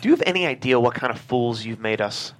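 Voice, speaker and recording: An actor in a script table read playing a stern, disapproving corporate boss, curt and scolding. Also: male voice, medium pitch, lively intonation, quick pace, lightly acted.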